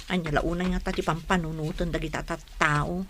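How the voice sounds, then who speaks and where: A woman's voice: deep voice, fairly even intonation, brisk, fairly bright tone, slight background noise. An actress playing a bored, blunt young woman in a radio comedy drama, whiny and exasperated, with an Ilocano Filipino accent. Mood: sulky, exasperated. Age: young adult. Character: bored young woman, blunt, sulky with her mother and boyfriend.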